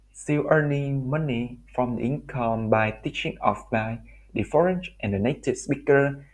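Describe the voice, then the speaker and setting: A Vietnamese-accented man walks through his slides as a language teacher. His English is halting and broken, and he often restarts phrases. His voice sits at an ordinary middle pitch for a man. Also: rough recording. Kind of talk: recorded slide presentation.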